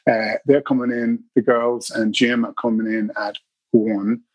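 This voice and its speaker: A middle-aged Irish man, a bass player guest, telling stories casually in an interview with many restarts and fillers. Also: level tone, a little slow, a little mellow, slightly rough, no echo. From Ireland.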